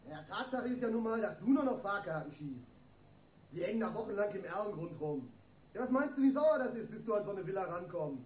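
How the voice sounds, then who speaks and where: An actor playing a fed-up, defiant crook who runs a bar, blunt and slangy, in a radio crime drama. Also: male voice, sits high, sing-song, a little fast, darkish tone. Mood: challenging. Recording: roomy, distant, noisy radio drama.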